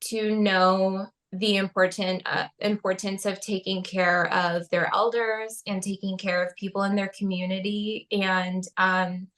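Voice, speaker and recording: A woman's voice: mid-range voice, flat delivery, unhurried, hardly any pauses, a bit bright. A young adult woman speaking as a podcast guest in a casual interview, earnest and heartfelt, with frequent "um" and "like".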